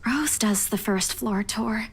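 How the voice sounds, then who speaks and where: Woman playing a high, husky, headstrong young woman. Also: takes it slowly, exaggerated voice. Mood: anxious, insistent.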